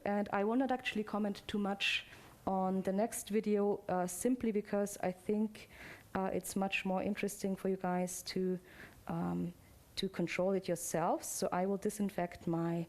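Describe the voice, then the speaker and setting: A woman's voice: medium pitch, fairly even intonation, moderate pace. A woman giving an informal live demo to an audience, addressing them directly as a presenter. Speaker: presenter demonstrating immersive videos. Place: immersive presentation space with an audience. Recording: loud music behind the voice. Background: music from demo video.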